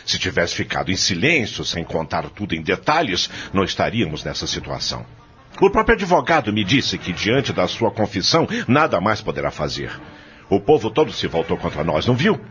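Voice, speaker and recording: A man's voice: deep voice, a bit bright, highs cut off. A man playing a vengeful, domineering criminal in an audio drama, speaking with contempt and command. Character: domineering, vengeful criminal eldest brother, farm heir.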